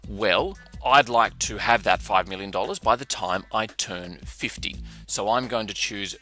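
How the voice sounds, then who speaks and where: A man under fifty teaching a lesson in a lively, conversational way, using his own examples. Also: fairly low pitch, unhurried, soft background music. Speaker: instructor. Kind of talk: explaining a lesson.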